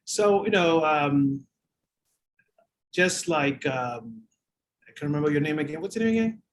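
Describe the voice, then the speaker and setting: Halting man in reflective chat. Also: on the high side, animated. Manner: halting, pausing mid-phrase. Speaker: guest author.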